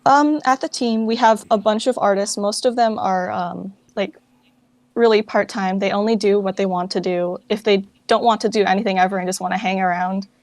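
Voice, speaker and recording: Woman being interviewed, casual and a bit hesitant, says like a lot, medium pitch, no room sound. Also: fairly even intonation, moderate pace, darkish tone, no room sound. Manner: informal, hesitant, filler-heavy phrasing with frequent "like". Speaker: interview guest, founder of a fan dub project.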